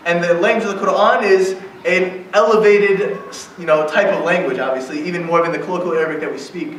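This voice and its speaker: A man's voice: high voice, fairly even intonation, brisk, a bit bright, slight background noise. A man giving an informal religious talk to young adults, speaking conversationally and often checking in with a questioning "right?". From United States. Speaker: guest lecturer at an Islamic center. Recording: very echoey hall, distant mic.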